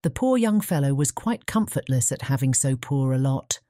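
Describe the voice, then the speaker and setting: A woman's voice: rumbling low, animated, normal speed, mellow, dry recording, clean studio sound. A woman with a British English accent reading scripted fairy-tale narration.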